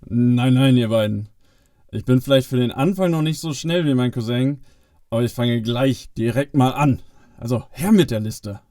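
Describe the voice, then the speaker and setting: A man's voice: mid-range voice, normal speed, mellow, dry recording, studio quality, lightly acted. A man playing a sly, vain cousin of Santa, eager and boastful, in a family Christmas audio play. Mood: smug.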